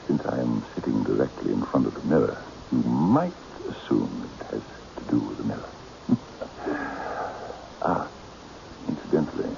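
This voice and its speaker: Controlled eccentric with a cat, extremely low creaky voice, courtly but commanding, old radio mystery. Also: male voice, heavily acted. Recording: dull, noisy old radio recording.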